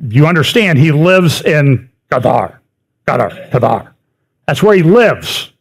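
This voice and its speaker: A middle-aged man talks through a slideshow in a loose, conversational way, his voice sitting a touch higher than most men's. He repeats words to stress them and tosses in dry little asides. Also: sing-song, measured pace. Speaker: speaker giving a prophecy update talk. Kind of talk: lecture with slides.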